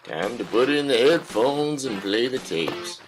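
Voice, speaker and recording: An actor playing a grim father in a slow post-apocalyptic audio drama, with a low gravelly voice. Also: lively intonation, music in the background, natural voice, rough recording.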